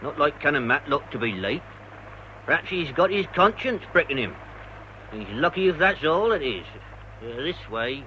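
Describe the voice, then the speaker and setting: An actor playing a blunt, grumbling English working-class senior verger in a British radio drama, speaking in clipped sentences. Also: male voice, fairly high pitch, sing-song, normal speed, dull audio, slight background noise. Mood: blunt, disapproving.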